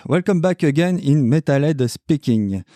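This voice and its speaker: A man's voice: a little high, expressive, normal speed, dark tone, slight background noise. A man with a French accent hosting a podcast interview in English, hesitant with many fillers. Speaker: podcast host. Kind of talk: casual interview.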